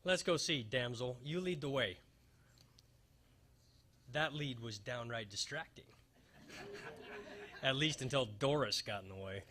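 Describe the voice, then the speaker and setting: A man performs a wisecracking private investigator in a staged old-time radio spoof. His voice is bright and forceful at a middling pitch. He tells his hapless tale with wry, hard-boiled deadpan. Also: measured pace, pauses often, a little hiss or hum. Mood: confident, wry.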